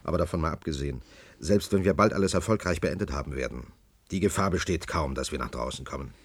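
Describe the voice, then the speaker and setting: An actor playing a disillusioned, pragmatic middle-aged scientist in a radio play, cynical and resigned. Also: male voice, very deep voice, fast, slightly rough. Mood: resigned, sardonic. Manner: resigned, cynical, flaring into irritation.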